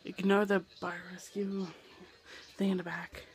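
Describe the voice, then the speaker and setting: A man's voice: high-pitched, little pitch movement, measured pace. A young man vlogging casually from his bedroom on a handheld camera, rambling and self-deprecating, with a voice that is wearing out. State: losing his voice. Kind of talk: casual vlog talk to camera. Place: own bedroom.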